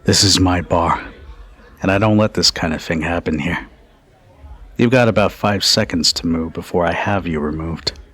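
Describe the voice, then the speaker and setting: A man playing a protective, teasing, dominant bartender in an intimate ASMR roleplay, speaking in a deliberate, commanding yet caring way. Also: a bit bright. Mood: firm, menacing. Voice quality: low husky voice.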